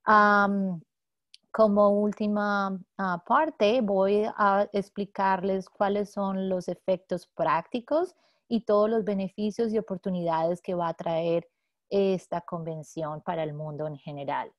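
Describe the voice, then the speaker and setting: A woman mediator presenting a webinar lecture, speaking haltingly with many fillers and restarts over a video call. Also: mid-range voice, steady tone, dry recording, dull audio. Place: home office in San Diego.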